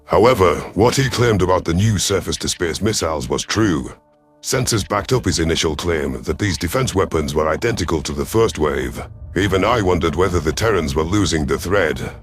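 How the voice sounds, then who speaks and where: A man's voice: sits low, expressive, a little fast, faint music behind. A man giving a dramatic scripted narration of a science fiction story as an audio performer.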